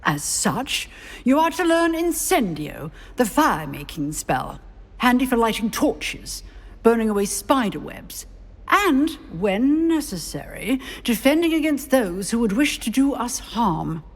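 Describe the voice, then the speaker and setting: A stern, knowing witch professor from a fantasy game. Her voice is husky and her pitch moves freely within formal, measured sentences. It rings with long hall reverb. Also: very animated, heavily acted. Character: stern, knowing female magic school professor. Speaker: professor of magic. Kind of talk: scripted video game acting.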